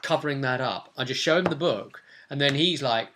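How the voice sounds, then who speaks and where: Game master, young man, British, bright voice, casual tabletop session recap. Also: mid-range voice, brisk, a little hiss or hum. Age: young adult. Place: small cluttered room at home.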